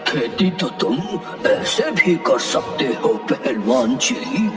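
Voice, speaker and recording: A man's voice: medium pitch, big pitch swings, slow pace, echoey room, distant mic, over music. A man voicing a pleading, whiny cartoon ghost in a Hindi cartoon story. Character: gang of ghosts, whiny, boastful then pleading servants.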